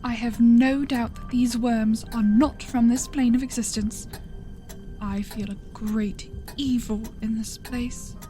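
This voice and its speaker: A woman playing an eerie, solemn mystic adventurer in a fantasy horror role-play, speaking in measured, incantatory phrases. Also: a little high, fairly even intonation. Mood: grave foreboding. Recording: loud music behind the voice.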